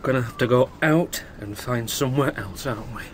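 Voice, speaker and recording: A man's voice: mid-range voice, a little fast, natural voice. An actor playing an anxious, touchy, sceptical stranded man, edgy and plaintive, in a radio drama. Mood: uneasy. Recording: noisy old radio drama recording.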